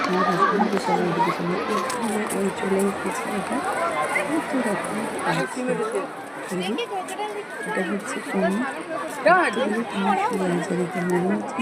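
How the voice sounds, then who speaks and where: A woman with a West Bengal Bengali accent narrates a casual vlog in a chatty, rambling way, often repeating herself. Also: medium pitch. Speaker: vlogger. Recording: noisy crowded ambience behind the voice.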